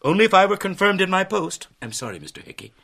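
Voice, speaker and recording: An actor in a radio drama playing a resentful, indignant hotel deputy manager with a formal, tense manner. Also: male voice, sits high, sing-song, quick pace, creaky, sharp tone, exaggerated voice. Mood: stiffly polite.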